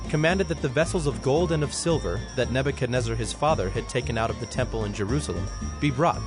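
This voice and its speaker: A man narrating dramatized scripture in a formal, measured storytelling style. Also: medium pitch. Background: music.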